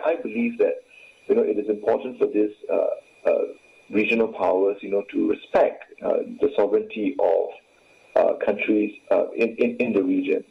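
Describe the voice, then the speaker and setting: A professor joining an interview over a remote link, talking haltingly and filling with "you know" constantly. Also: male voice, fairly low pitch, creaky. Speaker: professor, guest expert. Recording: tinny phone-like line.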